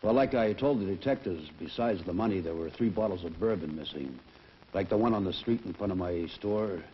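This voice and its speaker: A man's voice: sits low, brisk, natural voice. An actor playing a plainspoken male liquor store owner, giving folksy testimony in a 1960s training film. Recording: muffled 1960s film soundtrack, hiss.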